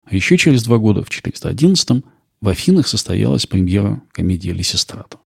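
Low-pitched, creaky male narrator. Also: big pitch swings, fast, a little mellow, no echo, clean studio sound. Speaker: narrator and host. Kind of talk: scripted narration on theatre history.

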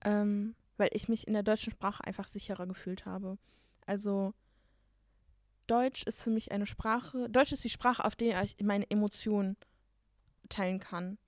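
A woman's voice: medium pitch, steady tone, unhurried, darkish tone, no room sound, clean studio sound. Young woman chatting casually. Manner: casual, filler-heavy, talks with gestures. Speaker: podcast co-host. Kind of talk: casual chat.

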